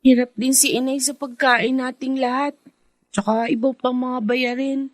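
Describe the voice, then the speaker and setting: Woman acting a very high-voiced teenage sister. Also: flat delivery, normal speed, dry recording, close to an everyday voice. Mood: reluctant, resigned. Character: reluctant but caring teenage elder sister. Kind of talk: Filipino radio drama acting.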